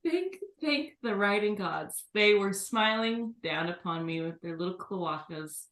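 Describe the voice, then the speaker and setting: A woman's voice: medium pitch, animated, a little slow, a bit bright. A woman co-hosting a podcast in casual chat with crude, joking banter. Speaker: podcast co-host. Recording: roomy echo.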